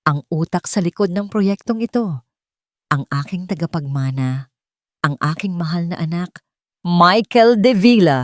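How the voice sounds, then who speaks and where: A woman's voice: on the low side, expressive, unhurried, no echo, studio quality. A woman with a Filipino accent voicing a wealthy, controlling mother in an audio love story, sweet but firm. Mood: proud.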